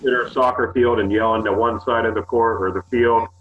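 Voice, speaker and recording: A man's voice: lowish voice, fairly even intonation, brisk. A man explaining procedures on a webinar, rambling with many fillers. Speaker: sports department staff. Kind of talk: webinar briefing. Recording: tinny phone-like call line.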